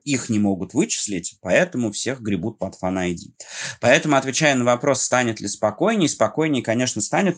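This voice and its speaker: A man, a sports commentator, calmly explaining his view in an interview. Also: lowish voice, slightly rough.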